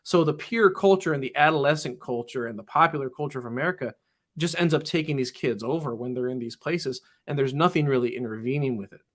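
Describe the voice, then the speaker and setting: A man's voice: mid-range voice, quick pace. A man who teaches in public schools, talking earnestly in an interview and often restarting his sentences with "you know". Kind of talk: casual interview talk.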